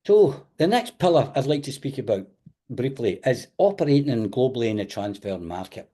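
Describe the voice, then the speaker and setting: Scottish man giving an animated webinar. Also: mid-range voice, fairly quick. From Scotland. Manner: animated, emphatic, direct advice with Scottish words like "wee". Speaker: webinar host and football agent coach. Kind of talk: online webinar teaching. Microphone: video call.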